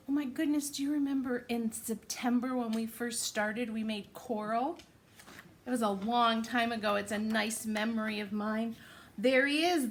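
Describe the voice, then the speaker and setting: Slow-paced woman reading to children, higher-pitched voice. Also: a bit bright, a little hiss or hum. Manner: asks the young listeners questions and explains words simply. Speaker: teacher reading aloud to young children. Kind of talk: picture-book read-aloud with chatty asides.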